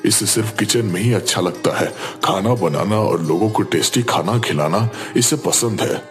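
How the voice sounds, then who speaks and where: A man's voice: on the low side, moderate pace, faint music behind, lightly acted. A man playing a worried, respectful father pleading for help in a cartoon. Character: worried, pleading father embarrassed by his son. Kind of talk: Hindi cartoon dialogue.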